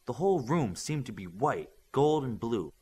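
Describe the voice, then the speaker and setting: A man's voice: medium pitch, very animated, normal speed, darkish tone, breathy edge. A man reading a scripted story aloud as an audiobook narrator.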